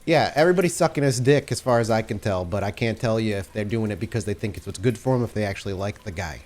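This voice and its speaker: A man's voice: lowish voice, lively intonation, quick pace, a little mellow, slight background noise, lightly acted. Man doing a blunt, crude street tough. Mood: grudging, emphatic. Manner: blunt, sweary, emphatic. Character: Grant, crude, grudging, street-tough male underling. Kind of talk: improvised tabletop roleplay.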